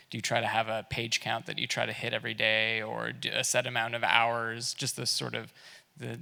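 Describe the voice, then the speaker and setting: Man with a flat, gravelly voice that has a bright edge, asking a rambling, casual question. His voice carries the long reverb of a large hall. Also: medium pitch.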